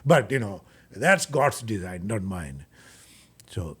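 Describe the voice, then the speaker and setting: A man with a Bangladeshi accent being interviewed, explaining his work earnestly and haltingly, often repeating words. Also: lowish voice, sing-song, fairly bright tone, slightly rough. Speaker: guest, charity furniture bank leader.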